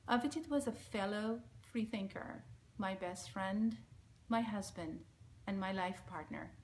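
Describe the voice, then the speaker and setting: Bangladeshi-accented woman, forties, solemn and measured reading to camera; middle pitch, light background hiss. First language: Bengali. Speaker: speaker giving a recorded message. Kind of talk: reading a prepared statement. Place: small indoor room, plain wall. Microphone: front-facing camera at close range.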